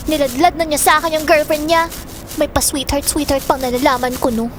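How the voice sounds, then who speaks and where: A woman's voice: sits high, steady tone, brisk, a little hiss or hum, natural voice. A woman playing an angry, grieving young daughter in an audio drama, confrontational and accusing. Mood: bitter venting. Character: angry, grieving young daughter resenting her father's girlfriend.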